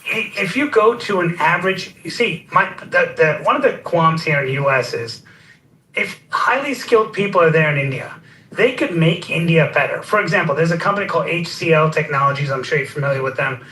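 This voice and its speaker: A man debating off the cuff, halting and often repeating words as he builds his point. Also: on the high side, animated, bright, forceful tone. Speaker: discussion participant. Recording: roomy, distant mic with faint noise.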